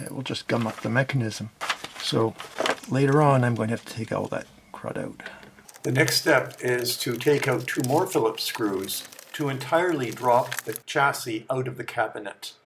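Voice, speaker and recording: A man calmly explaining a repair step by step, with a noisy workbench recording. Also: medium pitch, measured pace, vocal fry. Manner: calm, step-by-step instructive. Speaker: repair video host. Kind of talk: narrated repair tutorial.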